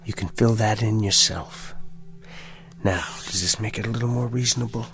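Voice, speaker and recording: An actor playing a calculating, quietly persuasive man in a television drama, speaking deliberately and insistently like a salesman closing a deal. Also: lowish voice, fairly even intonation, gravelly, soft background music. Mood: coaxing, persuasive.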